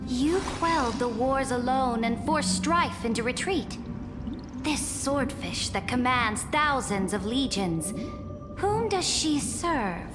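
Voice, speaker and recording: A grand, ambitious game ruler, high-voiced and imperious. She speaks slowly and formally, echoing as in a great hall. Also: lively intonation. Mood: probing, grand. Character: regal, ambitious female ruler, commanding and grand. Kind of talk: dramatic game acting. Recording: long hall reverb, music behind.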